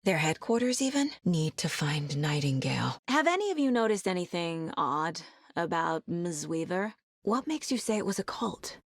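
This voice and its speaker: A woman's voice: fairly low pitch, sing-song, moderate pace, no room sound. A woman playing a serious, determined FBI agent in a video game, often musing to herself as she investigates. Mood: serious, questioning. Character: determined, level-headed female FBI agent investigating supernatural horror. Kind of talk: dramatic video game voice lines.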